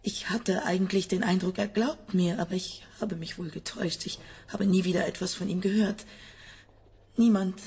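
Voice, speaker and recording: An actress playing a sick, embittered scientist's wife in a radio drama, agitated, halting and insistent. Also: female voice, mid-range voice, fairly even intonation, a little mellow, slightly rough. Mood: bitter, despairing. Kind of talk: German radio drama acting.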